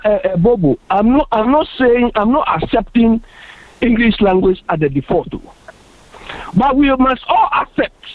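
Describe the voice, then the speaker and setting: A man's voice: very high voice, no echo. A Ghanaian man making his case on a radio panel discussion, earnest and emphatic, often repeating himself. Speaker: panel guest. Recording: dry tinny phone-like radio sound.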